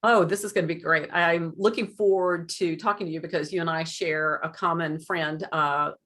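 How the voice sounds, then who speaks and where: A woman's voice: on the low side, steady tone, normal speed, a bit bright. A middle-aged woman hosting an interview over a video call, chatty and friendly, with long lead-in questions. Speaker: podcast host. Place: home room with a light blue wall. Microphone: video call webcam.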